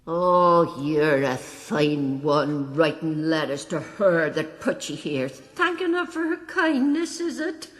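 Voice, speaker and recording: A woman's voice: low voice, animated, slow pace, hardly any pauses, full character voice. An actress playing an elderly Irish mother, suspicious, scolding and fiercely protective, in a period radio drama.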